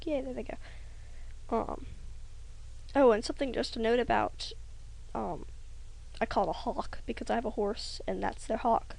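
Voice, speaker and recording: Shy young woman with a gravelly voice. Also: a little high, moderate pace, low-quality recording. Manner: rambling, hesitant, self-conscious, many ums; soft, trails off into near-whispers. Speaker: hobby animator. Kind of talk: casual voice-over drawing tutorial.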